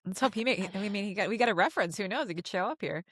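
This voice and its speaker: A woman's voice: mid-range voice, very fast, no room sound, studio quality. A woman co-hosting a podcast in casual, joking banter. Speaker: podcast co-host.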